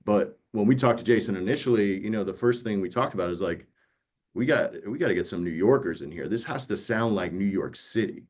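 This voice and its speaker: A man speaking as a producer in a conversational panel, explaining earnestly and checking in with listeners. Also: fairly low pitch. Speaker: audiobook producer. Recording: dull, lo-fi audio.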